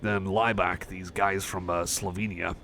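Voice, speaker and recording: Man as a low, gravelly, shy cyborg buddy. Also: little pitch movement, unhurried, a bit bright, a little hiss or hum. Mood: shy, enthusiastic. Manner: hesitant, rambling, self-effacing. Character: shy, friendly young male cyborg music fan. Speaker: ASMR roleplay performer. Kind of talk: soft casual ASMR roleplay chat.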